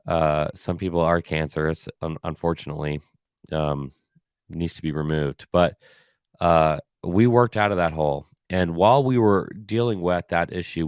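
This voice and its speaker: A man hosting a podcast alone, slightly hoarse and raspy, talking casually with many fillers and restarts. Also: extremely low pitch, no echo, clean studio sound. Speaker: podcast host. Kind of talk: solo casual year-in-review talk.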